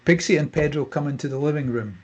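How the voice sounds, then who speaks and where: A man's voice: on the high side, fast talker. A man narrating the stage directions at a screenplay table read, in a scripted narration style.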